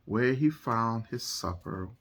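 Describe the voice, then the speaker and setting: A woman reading a picture book to children at bedtime in an expressive, dramatic way, drawing out certain phrases. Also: rumbling low, creaky, slight background noise. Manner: dramatic, with emphasized words and drawn-out phrases. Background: music.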